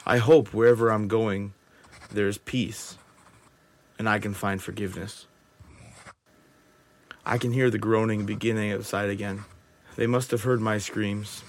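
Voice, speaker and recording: A man's voice: lowish voice, fairly even intonation, a little slow, pauses often. A man playing a guilt-ridden, resigned survivor confessing in a final journal entry for an audio drama. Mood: despairing, resigned.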